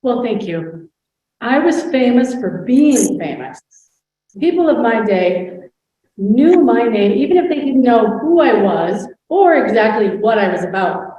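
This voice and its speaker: A proud, witty 19th-century noblewoman, voiced by a woman tells her life story in a grand manner. The voice sits fairly high. It comes from a distance in a heavily echoing hall, with a dull, muffled sound. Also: sing-song, a little slow, mellow. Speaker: historical impersonator. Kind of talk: scripted first-person portrayal. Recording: roomy hall sound, far from the mic, dull.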